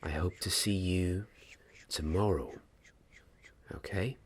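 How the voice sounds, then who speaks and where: A young man in an ASMR roleplay playing a gentle, patient wand maker mentoring an apprentice, speaking softly and breathily, at times in a whisper, with hesitant, deliberate phrasing. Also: low voice, exaggerated voice. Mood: calm, kindly. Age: young adult. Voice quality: soft, breathy, partly whispered. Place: small dim indoor room. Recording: clear background noise and ambience.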